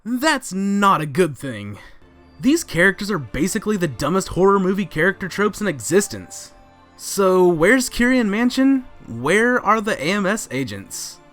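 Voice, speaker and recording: A man's voice: high voice, very animated, unhurried, fairly bright tone. An American man in his thirties co-hosting a scripted countdown, ranting in an exasperated, theatrical way. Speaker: co-host of a countdown show. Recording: music under the voice.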